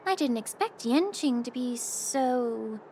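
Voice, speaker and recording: A woman voicing a calm, gracious young woman alchemist in a game, speaking gently and reflectively. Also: fairly high pitch, takes it slowly, slight background noise. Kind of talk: game voice acting. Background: game music.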